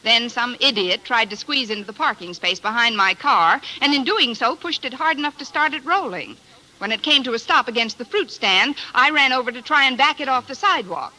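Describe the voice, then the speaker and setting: An actress playing a wry, sharp-tongued schoolteacher, delivering dry deadpan wisecracks in a 1950s radio comedy. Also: female voice, on the high side, brisk. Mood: wry, exasperated. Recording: noisy old radio recording.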